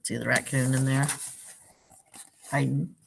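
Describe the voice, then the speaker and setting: A woman with a low voice and a noticeable creak reads a storybook aloud for young children. She addresses them directly, stepping out of the text to explain things simply and ask little questions, in the way of a teacher. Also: little pitch movement, measured pace, a little hiss or hum. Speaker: teacher reading a picture book to children.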